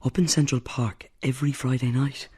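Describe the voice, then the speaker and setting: A man's voice: mid-range voice, fairly even intonation, moderate pace, dark tone, breathy edge, natural voice. An actor playing an eager Irish fan of the pipes in a radio drama, wheedling and dreamy as he talks about the music. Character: Irish pipe fan, eager and a bit boozy.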